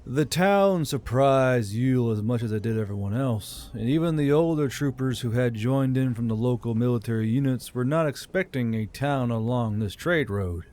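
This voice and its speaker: A man's voice: medium pitch, moderate pace, few pauses, darkish tone. A man giving scripted narration of a fantasy audiobook.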